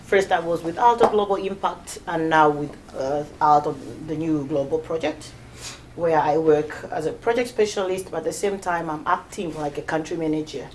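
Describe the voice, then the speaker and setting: Lowish-voiced woman from Tanzania presenting. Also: moderate pace, a little hiss or hum, poor audio. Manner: hesitant, many fillers and restarts. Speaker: guest speaker. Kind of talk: informal presentation talk.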